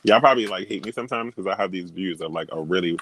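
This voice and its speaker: A man's voice: low-pitched, quick pace, crowd noise. A man talking as a guest on a video call, stating firm opinions with many fillers and laughing at himself. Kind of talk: casual opinionated chat.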